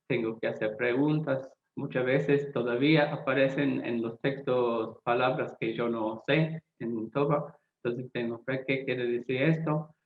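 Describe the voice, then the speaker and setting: A man who is not a native Spanish speaker, a Bible translator giving a lecture-style talk, deliberate and often pausing to search for words. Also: medium pitch. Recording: echoey, tinny phone-like sound.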